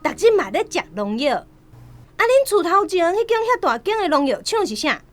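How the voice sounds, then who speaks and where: A woman's voice: very high-pitched, sing-song, normal speed. An actress playing a blunt, scolding village woman in a radio drama, heard somewhat away from the mic. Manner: scolding, accusing.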